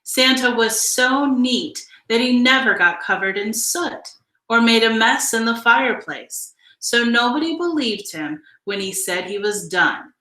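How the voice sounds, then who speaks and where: A woman reading a children's picture book aloud in an expressive, deliberate storytelling style. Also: fairly high pitch, reverberant, low-quality recording. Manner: measured, deliberate storytelling delivery with emphasized phrases. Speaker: storybook reader.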